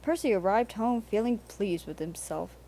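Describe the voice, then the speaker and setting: A woman's voice: mid-range voice, moderate pace, dark tone, slight background noise. A woman narrating a children's storybook aloud from a script.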